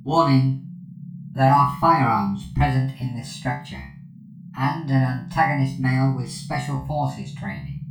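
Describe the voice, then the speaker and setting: Gravelly, echoing artificial intelligence. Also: male voice, medium pitch, animated, a little slow, over loud music. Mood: impassive alert. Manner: formal, informational, impassive. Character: calm, clinical artificial intelligence assistant giving threat warnings. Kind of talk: scripted audio drama.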